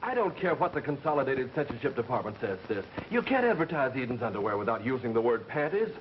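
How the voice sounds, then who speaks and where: An actor playing a brash, sarcastic radio producer in a quick-fire 1930s film style. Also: male voice, medium pitch, sing-song, lightly acted. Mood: irritated, brash. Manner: brash, wisecracking. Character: hot-headed, sarcastic male radio producer. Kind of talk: 1930s fast screwball film acting. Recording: muffled, hissy 1930s film soundtrack.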